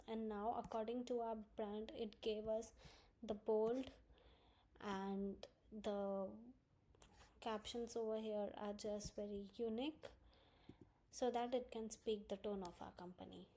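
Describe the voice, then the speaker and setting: A woman narrates a screen-recorded software tutorial in a soft, mellow tone. Her pitch sits in an average range for a woman, and she explains things step by step as an instructor would. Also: level tone, unhurried, low-quality recording.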